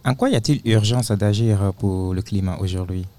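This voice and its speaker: Low, mellow-voiced male radio host. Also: normal speed. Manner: friendly, with hesitant fillers. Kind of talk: live radio interview.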